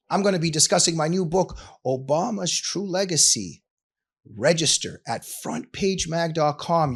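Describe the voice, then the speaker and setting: Emphatic male talk show host. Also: on the high side. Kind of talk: scripted intro and interview talk.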